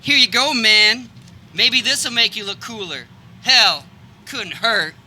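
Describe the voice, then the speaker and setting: A woman's voice: fairly high pitch, slow pace, sharp tone, full character voice. A woman playing a crude, sarcastic, hot-tempered teenage boy in a live outdoor comedy radio play. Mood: sneering, cool. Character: foul-mouthed, hot-tempered, sneering rebellious teenage boy. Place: backyard, before a live audience. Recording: live outdoor recording, faint background noise.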